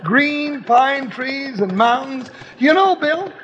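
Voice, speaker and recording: An actor playing a folksy, excitable deputy and sidekick with a country drawl and chuckles, in a 1950s radio western. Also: male voice, very high voice, takes it slowly, long reverb tail, highs cut off, full character voice. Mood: cheerful. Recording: hissy old radio transcription.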